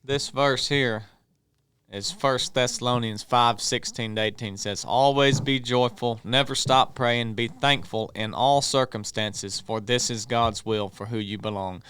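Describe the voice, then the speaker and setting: A man's voice: mid-range voice, steady tone, a little slow, a bit bright, clean tone, dry recording. A man on a podcast, sharing scripture and plain reflections in a casual religious discussion. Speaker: podcast team member.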